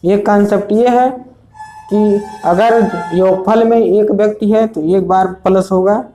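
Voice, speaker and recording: A man's voice: sits high, steady tone, measured pace, mellow, soft background music, low-quality recording. A male teacher explaining age problems step by step for an entrance exam, repeating rules and often checking whether students follow. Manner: repetitive, checks understanding often. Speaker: math teacher. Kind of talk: exam prep lesson at a board.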